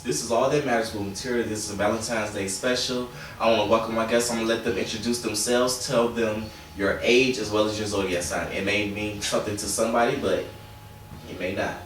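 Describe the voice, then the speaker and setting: A man's voice: on the low side, brisk, a bit bright. Echoey, distant male talk show host. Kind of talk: casual group discussion hosting. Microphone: distant camera microphone. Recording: very echoey room, distant mic, faint music.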